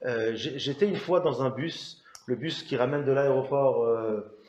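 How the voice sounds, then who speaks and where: A man from France, a rabbi, speaking informally at a book talk, haltingly and often repeating words. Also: medium pitch, a little mellow. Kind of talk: informal spoken anecdote to an audience. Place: indoor talk venue. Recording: echoey room, dull sound.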